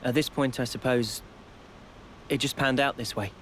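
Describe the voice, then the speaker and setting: A man's voice: medium pitch, fairly even intonation, normal speed, fairly bright tone, a little hiss or hum, natural voice. A man playing an earnest, thoughtful young soldier in a video game cutscene, with a sincere, pensive delivery. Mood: reflective. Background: game soundtrack music.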